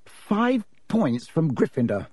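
A man's voice: on the high side, big pitch swings, a little slow, a little mellow, no echo, clean studio sound, pitched above the performer's own voice. A man voicing an officious, indignant teenage boy prefect who scolds others in an audiobook. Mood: stern.

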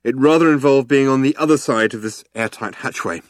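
A man voicing a quick-witted, breezy alien traveller in a comic audiobook, persuasive and flippant, improvising haltingly. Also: medium pitch, no echo, clean studio sound, pitched above the performer's own voice, lightly acted. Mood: resigned, flippant.

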